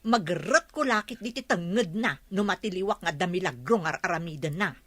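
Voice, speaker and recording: Indignant jealous wife, close dry sound. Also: female voice, fairly low pitch, lively intonation, brisk, husky, dry recording. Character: jealous, indignant young wife complaining to her mother. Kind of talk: radio drama acting.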